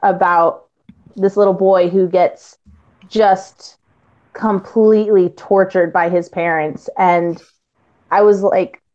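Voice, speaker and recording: A woman's voice: mid-range voice, fairly even intonation, slow pace, darkish tone. Woman chatting casually, dull lo-fi audio. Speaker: voice actress, podcast guest. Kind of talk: casual chat.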